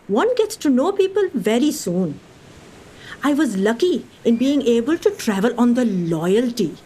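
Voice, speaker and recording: A woman playing a cheerful, self-reliant Indian lady traveller of around 1920, recounting a voyage in a genteel, expressive way for a radio play. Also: fairly high pitch, slight background noise.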